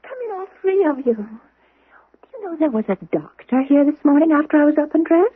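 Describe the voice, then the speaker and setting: An actress playing a sweet, confused young woman with a fogged memory in a 1970s radio drama, speaking in a breathy, wondering, faltering way. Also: high voice, expressive, normal speed. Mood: cheerful, vague. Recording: muffled, narrow-band old radio broadcast.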